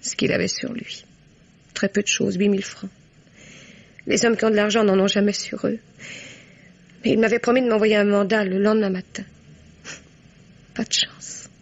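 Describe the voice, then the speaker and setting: An actress playing a broke, self-mocking dancer in a French radio mystery, frank and rueful, with a breathy, creaky voice. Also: female voice, mid-range voice, flat delivery, moderate pace, pauses often, natural voice. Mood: defensive, weary. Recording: dull old radio recording.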